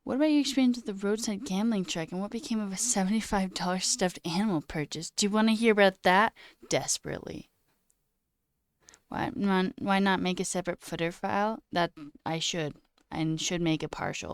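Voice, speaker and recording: A woman's voice: mid-range voice, expressive, brisk, no room sound, clean studio sound. A young woman with a slight sore throat, casually chatting on a live coding stream, reading chat aloud and muttering at her code. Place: small room indoors.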